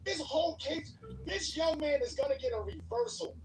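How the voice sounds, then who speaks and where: The recording is distant with some noise behind it. An irritated debater argues in a group discussion, insistent and repetitive. The delivery is very animated and peppered with swearing. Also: high-pitched, normal speed, roomy sound. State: worked up, irritated. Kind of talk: heated debate in a live online group audio discussion. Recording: distant mic, noticeable background noise.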